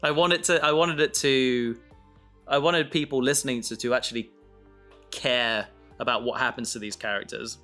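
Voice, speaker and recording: A man with a forceful, bright voice talks casually about his creative work on a podcast. He thinks aloud as he goes, halting and restarting his thoughts. His pitch sits in a middling range, with music under the talk. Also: fairly even intonation. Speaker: writer and maker of an audio drama, co-host. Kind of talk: casual behind-the-scenes podcast chat.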